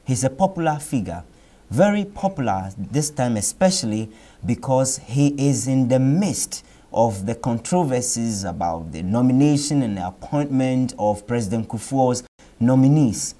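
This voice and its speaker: Ghanaian male TV host; mellow, dark tone, halting questions. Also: medium pitch, expressive. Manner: hesitant, many fillers and restarts. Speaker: TV interview host. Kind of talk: TV interview. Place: TV studio.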